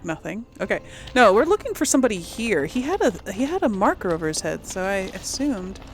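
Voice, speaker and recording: A woman's voice: mid-range voice, sing-song, brisk. A woman giving casual let's play commentary on a video game, chatting and thinking aloud as she goes. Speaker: video game streamer, host. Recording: faint background game noise.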